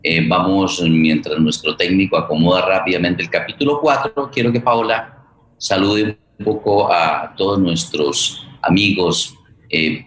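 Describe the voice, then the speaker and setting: A man with a Colombian accent presenting a radio theatre programme as host, in courteous, measured phrases. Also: low-pitched, fairly bright tone, slightly rough, low-quality recording.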